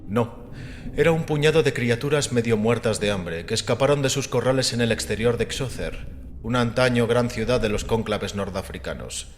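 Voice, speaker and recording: A man voicing a calm, assured and scornful critic of religion, measured and didactic, in a dramatized audiobook. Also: mid-range voice, lightly acted. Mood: calm, recounting. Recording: long reverberant hall with music behind. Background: music.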